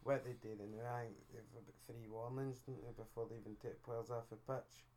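A man's voice: mid-range voice, little pitch movement, moderate pace, darkish tone, slight background noise. A man with a Scottish accent co-hosting a podcast, giving matter-of-fact opinions in casual chat. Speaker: podcast co-host.

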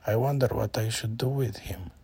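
A slow, pondering bear from a children's tale mutters to himself in a hushed, gravelly voice, as a storyteller puts him on. He hums before each thought and never hurries. The sound is dry and close. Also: mid-range voice, dry recording. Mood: musing. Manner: quiet, musing, hums before speaking.

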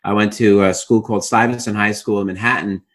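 A man's voice: fairly low pitch, fairly even intonation, brisk, fairly bright tone. A middle-aged man with a Brooklyn accent, a guest telling his life story in an interview with many fillers and restarts. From Brooklyn, New York.

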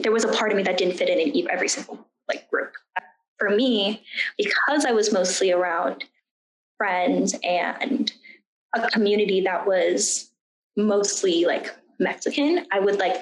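Young woman in an echoey room. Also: medium pitch. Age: young adult. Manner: informal, animated retelling with quoted asides and frequent "like". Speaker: student interviewee. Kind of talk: candid personal storytelling in an interview. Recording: roomy, echoey sound.

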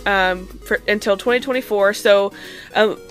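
A woman's voice: mid-range voice, fairly even intonation, moderate pace, faint music behind. A woman co-hosting a podcast, giving a loose, ad-libbed recap of the news in a conversational way. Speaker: podcast co-host reading news segment.

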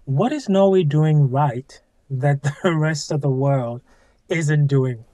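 A man hosting an interview who asks measured questions and gives short affirmations while listening. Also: fairly high pitch, warm tone, no echo. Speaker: interview host.

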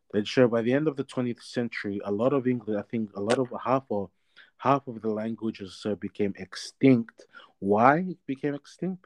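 A man in his thirties talks as an interview guest, his voice dark and mellow and set somewhat low. He rambles, leaning on fillers and restarting his sentences. His delivery stays casual and conversational. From Australia. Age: 30s.

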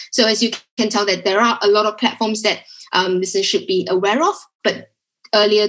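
A woman's voice: mid-range voice, poor audio. A woman with a Singaporean accent, speaking as an expert podcast guest in a conversational, explanatory style. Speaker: podcast guest, digital agency director.

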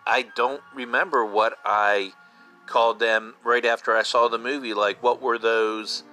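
Male podcast host, fifties: bright, punchy, informal fan chat, repeats points for emphasis, faint music bed. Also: medium pitch, fairly even intonation, a little slow. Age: 50s. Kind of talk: casual solo podcast talk.

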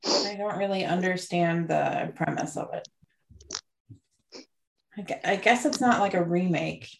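Woman co-host, low voice, gravelly, relaxed, short replies. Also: a little slow, poor audio. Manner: relaxed, brief replies, wraps up the show. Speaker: podcast co-host. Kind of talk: casual chat.

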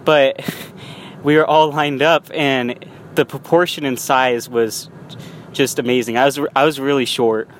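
A man's voice: mid-range voice, steady tone, a little slow. Young man, rambling car vlog, restarts and small laughs, faint road noise. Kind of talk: casual vlog monologue. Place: in a car while driving.

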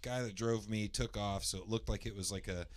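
A man from the Indianapolis area with a naturally loud voice, casually telling a long personal story, rambling with lots of fillers and restarts and clearing his throat. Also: fairly low pitch, steady tone, brisk. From Indianapolis area, USA.

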